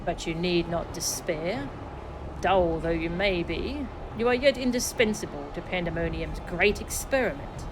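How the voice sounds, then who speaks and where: A woman voicing a cold, condescending sorcerer father from a fantasy game, in archaic, measured speech. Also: on the low side, background noise, lightly acted. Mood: cold, contemptuous. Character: haughty, scornful male sorcerer father, cold and taunting. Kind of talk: theatrical read-aloud of fantasy game dialogue. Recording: music behind the voice.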